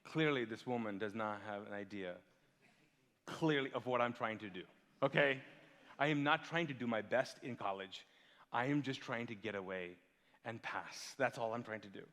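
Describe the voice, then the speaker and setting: A male pastor raised in Kuwait whose first language is Urdu, preaching with lively storytelling and rhetorical questions. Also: mid-range voice, normal speed, long reverb tail. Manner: animated storytelling, big swings in loudness, rhetorical questions. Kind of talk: sermon. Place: large church hall.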